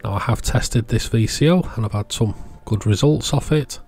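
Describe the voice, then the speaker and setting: A man's voice: mid-range voice, moderate pace, a little mellow, slightly rough. A man giving a casual, plain-spoken voice-over, explaining hobby electronics as the channel's presenter. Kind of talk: casual product showcase voice-over.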